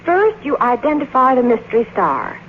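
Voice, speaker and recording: A woman's voice: high voice, sing-song, moderate pace. An American woman delivering a scripted 1950s radio advertisement in a perky, salesy way. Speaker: commercial spokeswoman. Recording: tinny, hissy vintage radio broadcast.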